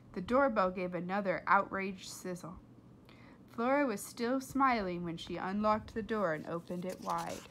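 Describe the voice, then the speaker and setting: A woman reading a storybook aloud to children, expressive, with simple explanatory asides. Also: medium pitch, slight background noise. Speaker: read-aloud host for children.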